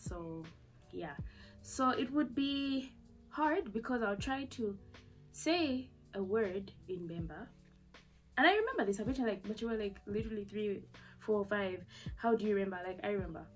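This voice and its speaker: A woman's voice: medium pitch, expressive, normal speed, faint music behind, poor audio. A young woman with a Zambian accent, telling a personal story to camera in a casual, candid way, full of fillers and asides, in a small bedroom. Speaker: vlogger. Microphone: camera in front at desk distance.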